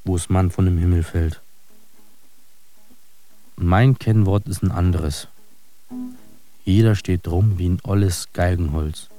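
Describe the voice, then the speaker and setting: An actor playing an embittered, disillusioned fighter in a radio drama monologue, declaiming and cursing in coarse words. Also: male voice, low-pitched, warm tone.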